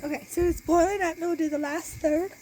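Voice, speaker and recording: A woman's voice: extremely high pitch, fairly even intonation, fairly quick, dark tone, a little hiss or hum. A woman in her forties who is losing her voice, chatting step by step through a beekeeping recipe out on her back deck, filmed close on a phone. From Iowa, US. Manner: chatty, explains step by step with many restarts. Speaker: homesteader and beekeeper. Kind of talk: casual how-to cooking demonstration. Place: outdoor back deck in a farm yard. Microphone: phone camera held close.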